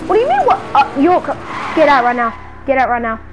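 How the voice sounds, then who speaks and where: High-voiced, slangy, exasperated city dweller, acted by a woman. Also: big pitch swings, fast talker, very noisy. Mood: exasperated scolding. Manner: slangy, muttering to self, then scolding. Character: exasperated city dweller hounded by a stalker friend. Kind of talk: improvised video game roleplay, casual slangy narration.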